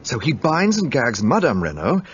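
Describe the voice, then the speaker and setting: An actor playing an earnest, puzzled gentleman, asking question after question. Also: medium pitch, huge pitch swings, moderate pace. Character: earnest English gentleman companion, eager and puzzled. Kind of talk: radio play acting. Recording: dull lo-fi recording, faint hiss.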